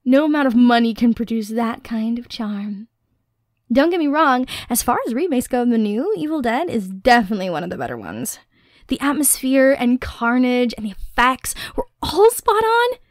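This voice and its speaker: Girl acting as dorky excited girlfriend, kinda high voice, super dry recording, nerdy chatty asmr roleplay, thinking out loud. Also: moderate pace, no room sound. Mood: enthusiastic. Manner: chatty, musing aloud, nerdy rambling. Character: dorky, Halloween-obsessed girlfriend, nerdy and enthusiastic. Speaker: voice actor in audio roleplay.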